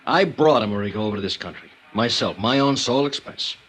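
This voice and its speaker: An actor playing a brash, cigar-chewing booking agent in a 1950s radio drama, blunt and boastful. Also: male voice, medium pitch, expressive, normal speed, a bit bright, husky, faint music behind, rough recording.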